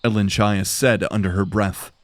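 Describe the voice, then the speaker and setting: A man's voice: lowish voice, fairly even intonation, normal speed, no echo. A man giving dramatic scripted narration for a fantasy audio drama.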